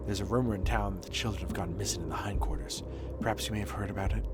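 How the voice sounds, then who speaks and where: A man voicing a stiff, formal male doctor from a video game, questioning in a measured, sometimes hushed way. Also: low-pitched, over loud music, pitched below the performer's own voice, lightly acted. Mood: polite, measured. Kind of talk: video game dialogue read aloud.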